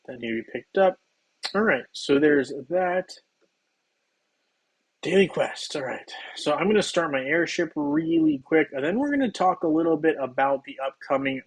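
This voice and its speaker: A slightly hoarse man hosting a game livestream, chatting loosely with viewers while he plays, with plenty of ums and restarts. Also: on the high side, rough recording. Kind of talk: casual livestream chat while playing.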